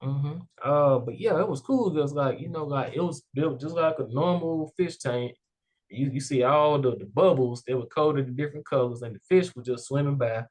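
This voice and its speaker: A man's voice: medium pitch, normal speed, poor audio. A young adult man hosting a casual interview show, talking loosely and upbeat with many fillers. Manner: rambling, heavy "like" and "you know" fillers, upbeat. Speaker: podcast host.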